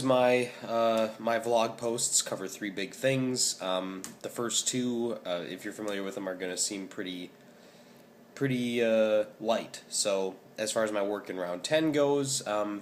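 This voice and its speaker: A young man in his twenties with a scratchy, hoarse voice, talking casually in a rambling vlog update with many ums. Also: fairly low pitch, normal speed. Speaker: vlogger.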